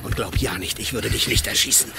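An actor playing a bitter, determined rogue agent in a radio drama, grim and pressing. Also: male voice, on the low side, brisk, gravelly, over music. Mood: bitter, threatening.

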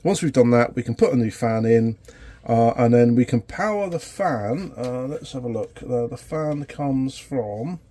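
A man's voice: medium pitch, expressive, dark tone. Man with a cold talking at his workbench. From United Kingdom. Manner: halting, filler-heavy explaining. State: has a cold and sore throat. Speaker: electronics repair channel host. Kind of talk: casual workshop tutorial. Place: small indoor electronics workshop.

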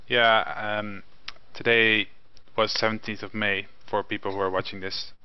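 A man's voice: on the low side, level tone, moderate pace, clear, resonant tone. A young man whose first language is Norwegian, co-hosting a casual gaming chat over a headset mic from his home, rambling with restarts and fillers. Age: young adult. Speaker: co-host. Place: home room with window. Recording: dull lo-fi webcam call audio.

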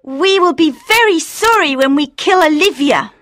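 A man reading a story aloud and voicing a stubborn, vengeful little girl in a very high childish squeak, speaking slowly and without pity. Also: expressive, sharp tone, dry recording, clean studio sound, exaggerated voice. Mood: stern.

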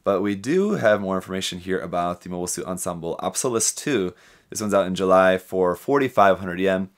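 A young adult man with a low-pitched voice presents a hobby news rundown. He talks casually and adds his own commentary as he goes, speaking into a close desk microphone in a small room. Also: expressive, fairly quick. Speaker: host of a hobby news show. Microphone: desk microphone with pop filter, close.

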